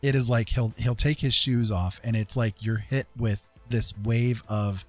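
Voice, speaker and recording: An American man casually telling a rambling personal story as a livestream host, animated and repeating phrases for emphasis. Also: medium pitch, a little slow, soft background music.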